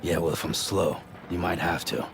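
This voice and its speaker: An actor playing a gruff, weary loner hero in a cinematic video game, speaking in a terse, guarded, blunt way with a gravelly voice. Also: male voice, low voice, steady tone, moderate pace, noisy background. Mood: matter-of-fact.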